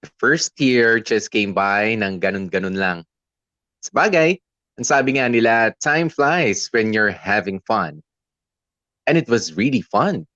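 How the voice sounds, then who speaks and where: A man's voice: on the low side, a bit bright, no echo, dull audio. A man acting a young boyfriend who fondly narrates his own love story, reflective and conversational, with a Filipino accent. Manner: reflective, conversational, mixes English and Tagalog. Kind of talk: first-person scripted story narration.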